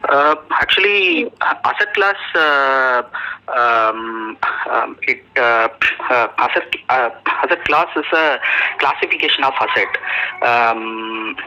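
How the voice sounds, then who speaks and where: A man with an Indian accent answering technical questions as a job candidate over the telephone, halting often and searching for words. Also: medium pitch, big pitch swings, bright, forceful tone. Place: telephone call. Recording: muffled, dull telephone interview recording.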